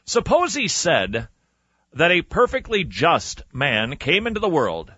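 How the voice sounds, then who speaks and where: A man hosting a radio podcast, reading and commenting in a theatrical, emphatic storytelling style with dramatic pauses. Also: fairly high pitch, huge pitch swings, bright, forceful tone, husky, dry recording, muffled recording.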